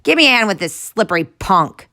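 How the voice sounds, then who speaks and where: A woman voicing a gruff, bossy male guard who barks out orders. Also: mid-range voice, very animated, brisk, sharp tone, pitched above the performer's own voice. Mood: barking. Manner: barking and dismissive.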